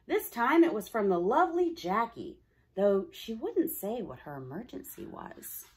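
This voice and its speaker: Female storybook reader, very animated pitch, slightly husky tone, dramatic emphasis. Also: a little slow. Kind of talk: expressive children's story narration.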